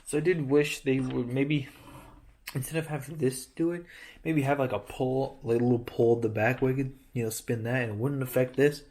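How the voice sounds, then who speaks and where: A man's voice: medium pitch, fairly even intonation, moderate pace, darkish tone. A man casually reviewing a toy building set he holds up to a camera, rambling, correcting himself and joking as he goes. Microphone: handheld camera close-up of toy.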